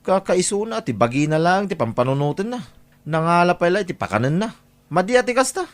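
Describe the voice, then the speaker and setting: A man's voice: high-pitched, very animated, a little fast. A man playing an exasperated grown son in an audio drama, scolding and nagging his overly generous father.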